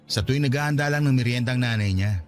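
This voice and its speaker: A man's voice: medium pitch, fast, close to an everyday voice. A man playing an easygoing but defensive husband in his thirties, with a Filipino accent, in radio drama style. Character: thirty-five-year-old husband, easygoing, defensive, fond of friends.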